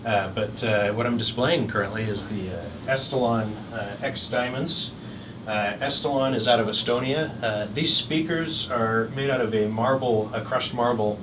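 A middle-aged man casually presenting products as a dealer, with a halting, hesitant delivery. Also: mid-range voice. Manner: halting, hesitant delivery with frequent long pauses. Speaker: hi-fi dealer exhibiting at a trade show. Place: hotel room at an audio show, indoors. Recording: distant mic, clearly noisy room.

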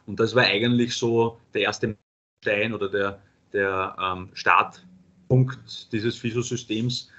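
A paramedic lecturer with an Austrian accent presenting a webinar, pausing often with fillers. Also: male voice, fairly low pitch, fairly even intonation, bright, forceful tone. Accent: Austrian German. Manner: halting, many filler sounds. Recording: dull lo-fi webinar audio.

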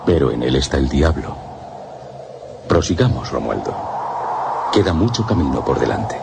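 Stern old-world abbot in a radio drama, castilian spanish, gravelly, intonation moves around a lot. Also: male voice, extremely low pitch, very animated, moderate pace, heavy background noise, exaggerated voice. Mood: admonishing. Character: stern, pious abbot travelling by mule. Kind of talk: radio theatre acting.

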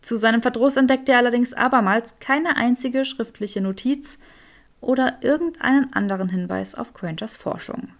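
A woman reading scripted fiction as an audiobook narrator. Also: fairly high pitch.

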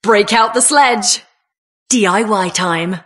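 A woman's voice: mid-range voice, slow, fairly bright tone. A woman with a British accent playing a plucky, cheeky builder hero in a video game. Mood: eager, confident. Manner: cheeky, plucky, punchy short exclamations.

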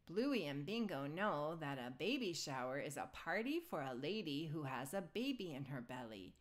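A woman reading a children's picture book aloud in an expressive storytelling style. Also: sits low, moderate pace.

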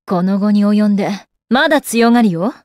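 A woman's voice: medium pitch, animated, normal speed, darkish tone, no echo, clean studio sound, lightly acted. An actress playing a proud, composed young goddess warrior in a game, with refined, ladylike and formal speech. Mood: confident, stern. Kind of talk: Japanese anime game character acting. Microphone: close dry studio microphone.

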